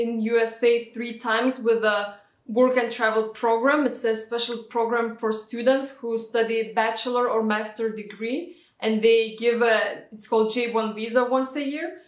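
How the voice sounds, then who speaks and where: Woman, Lithuanian accent, fairly high voice, echoey room, distant video-call audio, chatty with fillers. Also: steady tone, normal speed. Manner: chatty, frequent fillers and restarts. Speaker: guest, real estate agent. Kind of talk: casual interview answers.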